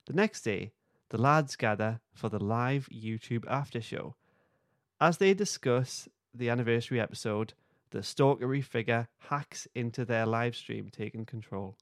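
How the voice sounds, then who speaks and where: A man's voice: medium pitch, moderate pace, dry recording, studio quality. A man hosting a podcast, playfully reading a comic script aloud and breaking off to comment. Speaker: podcast host.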